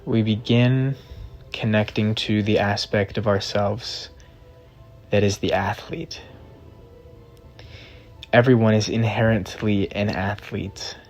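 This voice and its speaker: A man narrating a guided meditation in a measured, deliberate way, in short phrases separated by long pauses. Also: fairly low pitch, steady tone, lo-fi sound. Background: background music.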